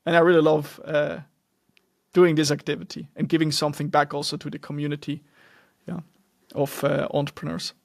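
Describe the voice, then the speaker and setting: A man's voice: on the high side, level tone, brisk, gravelly, dry recording, studio quality. A young man from Switzerland, speaking English as an in an earnest, loosely structured solo podcast monologue. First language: German. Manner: earnest, loosely structured, frequent restarts and fillers. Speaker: podcast host.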